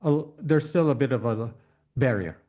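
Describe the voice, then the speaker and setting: A man's voice: medium pitch, normal speed, mellow. A man hosting a conversational interview, probing and checking his understanding with repeated questions. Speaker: podcast host, interviewer.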